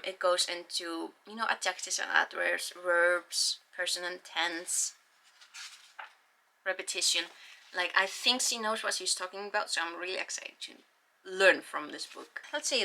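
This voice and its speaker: A woman's voice: fairly low pitch, a little slow, sharp tone, low-quality recording. A young woman with an Icelandic accent, a native Icelandic speaker, chatting casually and rambling through her book haul with sighs and ums. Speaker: book vlogger.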